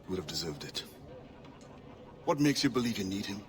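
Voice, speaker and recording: A grave, wary assassin. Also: male voice, fairly low pitch, sing-song, fairly quick. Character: proud, guarded freed-slave assassin, adult man. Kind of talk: video game cutscene dialogue.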